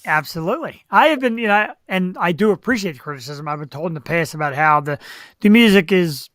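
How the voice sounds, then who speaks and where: A man's voice: high voice, animated, brisk, a bit bright, no room sound, studio quality. A man hosting an online talk show with playful, self-deprecating banter and a slightly nasal voice, speaking into a computer microphone. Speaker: host. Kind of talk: casual live-stream chat.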